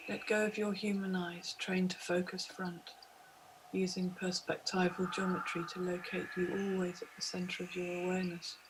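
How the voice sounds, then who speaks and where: Woman guiding meditation in a flat monotone. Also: fairly low pitch, background noise. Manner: measured, hypnotic, deliberate phrasing with pauses. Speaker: meditation guide. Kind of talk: guided meditation, scripted narration.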